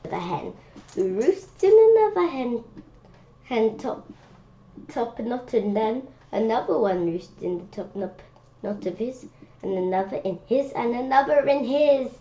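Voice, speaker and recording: Slow, halting woman reading aloud, slightly muffled. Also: on the high side, expressive, mellow. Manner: halting reading with asides and wondering questions. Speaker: read-aloud presenter. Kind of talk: children's picture book read-aloud with spontaneous comments. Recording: dull lo-fi sound with faint noise.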